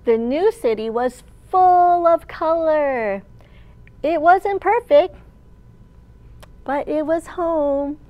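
Woman doing kids storytime, really slow n high voice, sing-songy like a librarian. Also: no room sound. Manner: animated, sing-song, addressing young children. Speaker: children's librarian hosting storytime. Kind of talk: children's storytime read-aloud and teaching. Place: large open library room, indoors.

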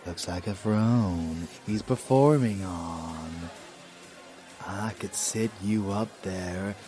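A man's voice: low-pitched, takes it slowly, dark tone, soft background music. A man playing a cocky, murderous vampire lover in a roleplay audio drama, intimate, drawling and menacing, doting on the listener. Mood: flirtatious, possessive.

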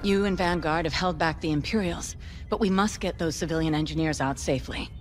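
A resolute alien general voiced by a woman for a video game. Her low voice is measured and commanding. She briefs her pilots gravely, like an officer who expects to be obeyed. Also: over music, lightly acted. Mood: grave, encouraging.

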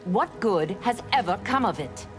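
A woman's voice: mid-range voice, animated, normal speed, lightly acted. A woman voicing an earnest, righteous demon hunter in a video game, stern and formal. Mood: stern reproach. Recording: music playing behind.

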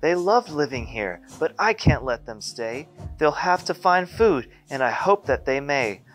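A man's voice: on the high side, animated, unhurried, a bit bright, faint music behind, pitched above the performer's own voice, poor audio. A man voicing a small grumpy male forest creature who scolds in fussy rhyming speech, as in a storybook reading. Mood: scolding.

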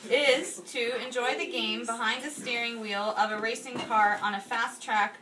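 A woman's voice: fairly high pitch, steady tone, moderate pace. A woman reading a speech aloud at a party, with casual asides. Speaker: toast reader at a birthday party. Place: indoor house party in a kitchen. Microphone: handheld phone camera a few feet away. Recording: noisy party, phone mic at a distance.

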